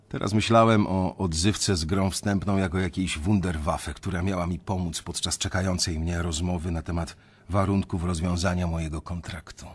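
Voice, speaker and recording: Niski, lekko ochrypły bankier-narrator. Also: male voice, low voice, breathy edge. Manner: reflective, confiding. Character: weary young male banker confessing his story. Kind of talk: first-person radio drama narration.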